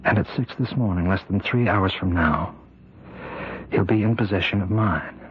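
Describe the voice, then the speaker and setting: An actor playing a tormented, frightened prison warden in an old-time radio drama, speaking haltingly and repeating himself in anguish. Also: male voice, sits low, slight background noise, close to an everyday voice. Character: tormented prison warden husband, fearful he is possessed. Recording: muffled old radio recording.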